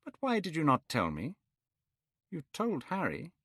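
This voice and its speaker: A man voicing a gentle, earnest painter who speaks in a pleading tone in a storybook reading. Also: a little high, huge pitch swings, unhurried, slightly rough, no room sound, clean studio sound, voice pushed up, full character voice.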